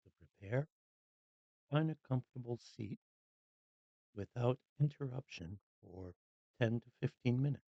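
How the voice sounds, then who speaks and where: A meditation guide speaks very slowly and calmly, leaving long pauses between short phrases. The voice is dry, with little room sound, and the recording is slightly dull. The delivery is scripted and unhurried, made for guided relaxation. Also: medium pitch, vocal fry, no echo.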